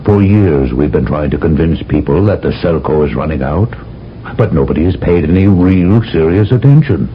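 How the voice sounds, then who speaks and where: A solemn military general who lectures in slow, measured phrases. His voice sits very deep. It comes through a dull, lo-fi old radio broadcast with faint hiss. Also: expressive. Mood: grave. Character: grave older male general, commanding, briefing an agent. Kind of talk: 1970s radio drama acting.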